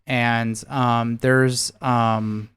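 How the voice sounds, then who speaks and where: An American man in his thirties chats as a podcast co-host. His voice is clean and resonant at a middling pitch. He talks reflectively and haltingly, often pausing to find the right word. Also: level tone, fairly bright tone, clear, resonant tone. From United States, near Seattle. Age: 30s. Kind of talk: casual chat.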